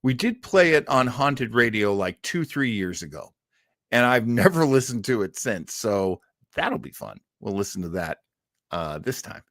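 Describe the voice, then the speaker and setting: A man's voice: medium pitch, very animated, measured pace, fairly bright tone, dry recording. Casual, self-deprecating man hosting, dry sound. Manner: self-deprecating, rambling, easily amused. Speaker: host of an old-time radio livestream. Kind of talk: casual, chatty livestream talk.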